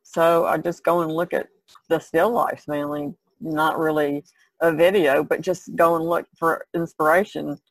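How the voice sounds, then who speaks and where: A woman's voice: low voice, flat delivery, measured pace, no echo, low-quality recording. A woman chatting casually as a podcast panelist, in a hesitant, musing way. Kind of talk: casual chat.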